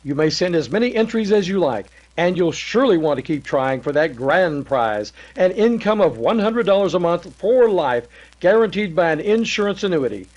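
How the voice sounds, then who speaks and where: A polished, emphatic announcer of a 1930s radio commercial played by a man. The voice sits high and formal, with a slightly muffled, lo-fi sound. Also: big pitch swings, moderate pace, a little mellow. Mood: formal, promotional.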